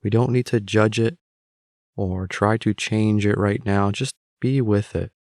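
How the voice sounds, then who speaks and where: A man guiding a meditation in a calm, unhurried, instructional manner, leaving long pauses between phrases. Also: lowish voice, no echo, studio quality. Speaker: meditation guide. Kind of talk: guided meditation narration.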